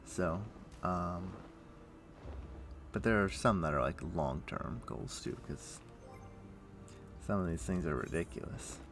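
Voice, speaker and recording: A man livestreaming a game, chatting casually and thinking aloud in a rambling way, with a rough morning voice. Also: deep voice, slow pace, long pauses, a bit bright, soft background music. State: rough morning voice, throat clearing. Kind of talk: casual chat while playing an online game.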